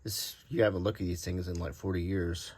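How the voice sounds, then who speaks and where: A man's voice: sits low, steady tone, a little fast, a little mellow, husky. A middle-aged or older man giving unscripted, casual show-and-tell commentary in an easygoing, rambling way, thinking aloud. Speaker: host of a hobby collecting channel.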